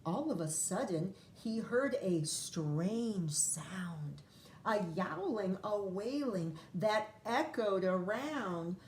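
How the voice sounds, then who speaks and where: A woman with a mid-range voice reads a picture book to first graders. She takes her time, stretching phrases for effect and breaking off for chatty little asides to the children. There is some faint noise behind her. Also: mid-range voice. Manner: expressive, drawn-out reading with chatty asides to children. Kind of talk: read-aloud picture book storytelling for young children.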